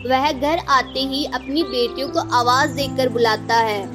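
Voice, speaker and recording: A woman narrates a children's story from a script. Her voice is high, and soft music runs underneath. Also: unhurried.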